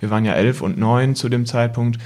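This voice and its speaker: A man's voice: medium pitch, steady tone, fairly quick, darkish tone. A young adult man, a podcast guest, recalling experiences casually in thoughtful, hesitant phrases. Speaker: podcast guest, son of travelling family. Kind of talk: casual reflective recollection.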